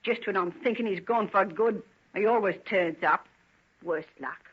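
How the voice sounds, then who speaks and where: A woman acting as a husky-voiced housekeeper in a vintage radio play. She is bitter and guarded, answering in a blunt, scornful, evasive way. The recording is dull and lo-fi. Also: mid-range voice, normal speed, lightly acted.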